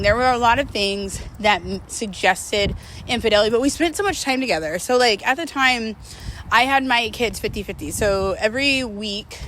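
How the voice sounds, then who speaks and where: A woman's voice: mid-range voice, a bit bright, slight background noise, poor audio. A woman talking casually and confessionally to her viewers, in a candid, rambling, emphatic way with slangy wording. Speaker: host of a personal vlog series.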